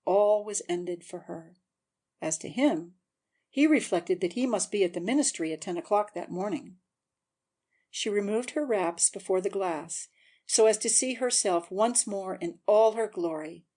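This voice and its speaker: A woman's voice: mid-range voice, measured pace, dark tone. A woman narrator giving a scripted, dramatic audiobook reading.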